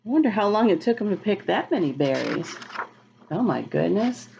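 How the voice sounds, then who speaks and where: A woman reading a picture book aloud to children in a teacherly, expressive, sing-song way, explaining and asking questions as she goes. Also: mid-range voice, normal speed, vocal fry, lo-fi sound, a little hiss or hum. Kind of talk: read-aloud storytime with asides to children.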